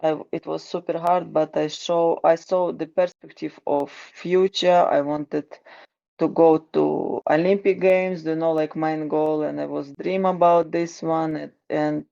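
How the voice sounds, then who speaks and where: A woman from Ukraine with a Ukrainian accent, answering interview questions slowly and haltingly, with many restarts and fillers. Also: low-pitched, level tone, gravelly, mellow, lo-fi sound. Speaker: guest, wrestling coach.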